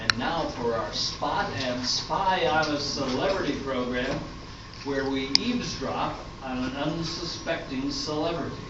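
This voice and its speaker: Slow-talking older man in an echoing hall. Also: fairly high pitch. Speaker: announcer and presenter of an amateur radio play. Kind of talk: slow scripted stage introduction. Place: indoor hall at a live performance. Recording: roomy echoing hall, distant mic, dull, faint noise.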